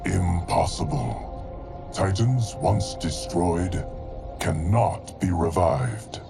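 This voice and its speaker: A grave ancient time-keeper. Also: male voice, deep voice, animated, gravelly, full character voice. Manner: grave, deliberate. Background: orchestral game music.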